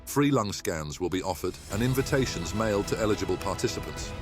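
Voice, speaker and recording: A male news presenter reading a weekly summary in a measured, even delivery. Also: on the low side. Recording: news read over clear music bed.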